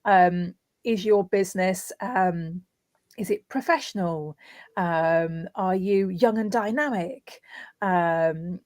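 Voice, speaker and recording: A woman's voice: on the low side, takes it slowly, a little mellow, no room sound, studio quality. A woman speaking as a guest voiceover artist, giving practical tips conversationally and illustrating points with everyday examples.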